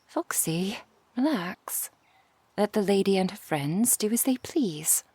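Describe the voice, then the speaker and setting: A woman acting a composed, refined, cold-hearted merchant in an ASMR roleplay, with formal, precise, measured diction. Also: mid-range voice, animated, dark tone, breathy edge, no echo. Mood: calm, restrained. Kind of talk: ASMR roleplay audio drama.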